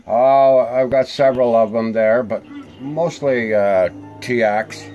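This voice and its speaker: A man's voice: mid-range voice, takes it slowly, mellow, highs cut off, faint music behind. An older man giving a casual hands-on demonstration, talking tersely as he works indoors. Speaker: reel-to-reel technician. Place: small room indoors.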